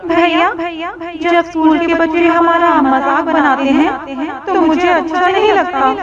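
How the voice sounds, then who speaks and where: Woman as sad little orphan girl, plaintive, very high voice, cartoon story, dull audio, loud crowd behind. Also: fairly even intonation, measured pace. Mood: hurt. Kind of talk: Hindi animated moral story acting.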